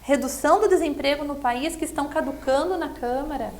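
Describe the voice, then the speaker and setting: A Brazilian woman with a distinctly high voice speaks as a guest commentator. She explains things earnestly and conversationally, often ending a point by seeking agreement. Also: brisk, a little mellow. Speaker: interview guest, political commentator.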